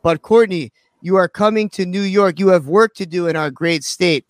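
Male radio host, high voice, dry close sound, quick teasing banter. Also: no room sound. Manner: joking, teasing. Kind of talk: live radio interview.

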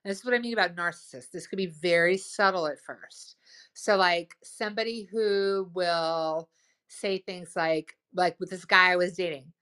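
Loud, enthusiastic lower-voiced woman. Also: steady tone, moderate pace, clear, resonant tone. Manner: lots of "like" and "right?". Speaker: host. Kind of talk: casual advice chat.

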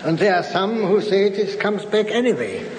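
Radio drama: wheedling, deferential old custodian, very lively pitch, echoey and noisy recording. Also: male voice, normal speed. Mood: sly, deferential. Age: older. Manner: deferential, chuckling. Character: servile, sly old castle custodian, easily bribed.

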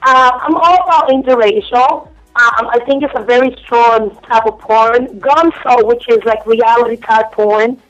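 A woman's voice: a little high, steady tone, unhurried, hardly any pauses, no echo, soft background music. A young woman from Cuba with a Spanish accent, talking frankly and giggling as a phone-in guest on a casual radio interview. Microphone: telephone. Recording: dull lo-fi phone line.

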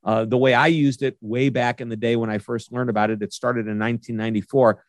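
A man's voice: mid-range voice, level tone, fast talker, no room sound, clean studio sound. A man hosting an interview show, enthusiastic and upbeat as he gives announcements and introduces his guest. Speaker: podcast host.

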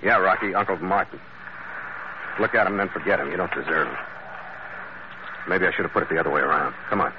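A man's voice: low-pitched, quick pace, long pauses, lightly acted. An actor playing a tough, commanding sea captain narrating his adventure in terse, hard-boiled old-time radio style. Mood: grim, stern. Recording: muffled, noisy old radio recording.

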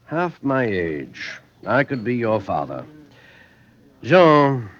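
A man's voice: medium pitch, sing-song, slow pace, a bit bright. A man acting a boastful, gullible middle-aged French factory owner in a 1950s radio drama, theatrical and expansive. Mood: expansive, tipsy. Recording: muffled vintage radio recording.

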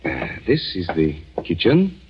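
An actor playing a secretive, commanding French country doctor and husband in a 1940s radio drama, speaking in a measured, firm, evasive way. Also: male voice, low voice, wildly swooping pitch, heavily acted. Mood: businesslike. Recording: muffled, noisy 1940s radio recording.

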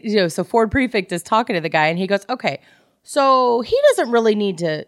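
A woman with a slightly low voice co-hosts a casual book club podcast. She retells the story conversationally, slipping into acted-out quotes. The sound is dry, with very little room in it. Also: no echo. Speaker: podcast co-host.

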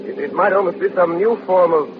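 An actor playing a polished, polite and inquisitive society gentleman in an old-time radio drama. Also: high voice, fairly quick, close to an everyday voice. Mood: curious, insistent. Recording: tinny vintage radio recording, faint noise.

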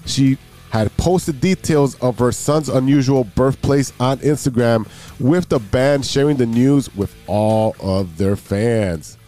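A man's voice: mid-range voice, takes it slowly, warm tone, soft background music. A man hosting a casual sports and news podcast, sounding nasal and stuffy from allergies, rambling and joking with restarts. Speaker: podcast host.

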